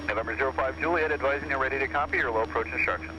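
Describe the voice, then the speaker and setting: A man's voice: medium pitch, very fast. A man working as an air traffic controller, giving procedural instructions over an aircraft radio. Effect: aircraft radio transmission. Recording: noisy old aircraft radio.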